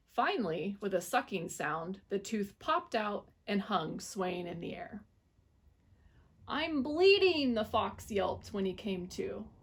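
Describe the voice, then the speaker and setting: A woman reading a picture book aloud to children, in an expressive, animated storytelling manner. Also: mid-range voice.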